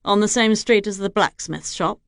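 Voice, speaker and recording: A woman voicing a young man of title who speaks gently and sorrowfully. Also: medium pitch, normal speed, dry recording, clean studio sound, close to an everyday voice. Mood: grieving. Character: young male lord, grieving and earnest.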